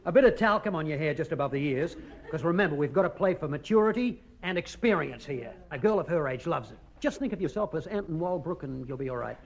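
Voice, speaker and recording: A brash schemer with a slightly high voice. He gives confident, persuasive advice like a pushy pal, in the acting style of 1950s radio comedy. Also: animated, fast talker, creaky, a crowd in the background. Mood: scheming. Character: brash, scheming male pal coaching a romance.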